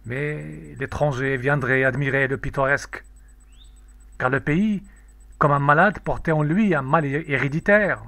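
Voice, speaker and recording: Slow-paced man reciting poetry, dry sound. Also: on the high side, vocal fry, bright, forceful tone, no room sound. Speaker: poetry reader. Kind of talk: solemn poem recitation.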